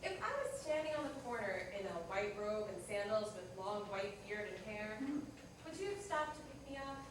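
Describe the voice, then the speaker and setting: A woman playing a calm, confident, matter-of-fact God in woman's form in a live staged play reading. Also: medium pitch, moderate pace, lightly acted. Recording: noisy, very echoey hall, distant mic.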